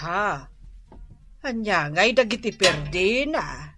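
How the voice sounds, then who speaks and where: Slow-talking, nagging older Ilocano wife. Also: female voice, mid-range voice, lively intonation, music in the background. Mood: weary complaining. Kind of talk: Ilocano radio comedy drama acting.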